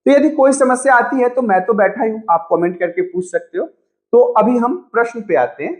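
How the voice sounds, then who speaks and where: A man's voice: very high-pitched, poor audio. A man teaching an informal exam-prep lesson online, chatty and encouraging, repeating phrases for emphasis.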